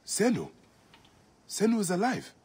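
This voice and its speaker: A determined warrior and father who speaks in short, resolute phrases. His intonation is extremely animated. Faint clicks and ambience sit behind him. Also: high voice, unhurried, a little hiss or hum, heavily acted. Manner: terse, resolute.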